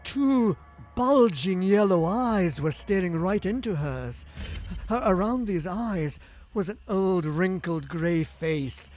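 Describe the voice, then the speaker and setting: A male narrator tells a gothic horror story as radio drama, with music behind him. His fairly high voice is theatrical and suspenseful, leaning hard on key phrases.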